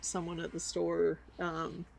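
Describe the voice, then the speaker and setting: A woman's voice: fairly low pitch, completely flat delivery, slow pace, vocal fry, a little hiss or hum. A woman co-hosting a casual chat who tells stories in a laid-back, slangy way and laughs often. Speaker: podcast co-host.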